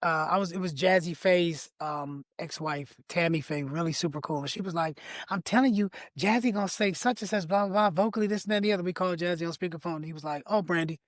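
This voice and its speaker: A raspy man with a fairly high speaking voice chats as a podcast guest. He talks emphatically and warmly about singers, building up his praise. The sound is close and dry, with no room around him. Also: quick pace, no room sound. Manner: effusive, emphatic storyteller. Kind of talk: casual podcast conversation.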